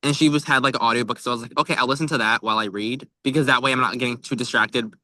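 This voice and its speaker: Bright-voiced man chatting about books. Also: medium pitch, fairly even intonation, rapid-fire, no echo. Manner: informal, conversational. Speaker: book podcast co-host. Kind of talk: casual book chat.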